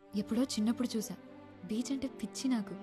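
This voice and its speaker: A woman's voice: fairly high pitch, fairly even intonation, quick pace, natural voice. An actress playing a lovestruck, playful young village woman in a film, with a frank, chatty manner. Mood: playful, smitten. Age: young adult. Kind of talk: naturalistic film acting. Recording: music bed under film dialogue.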